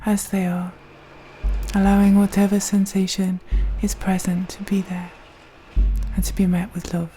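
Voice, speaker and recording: A woman gently leading a guided meditation in a soft, breathy voice, speaking slowly and soothingly with long pauses. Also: mid-range voice, flat delivery, rough recording. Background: soft meditation music.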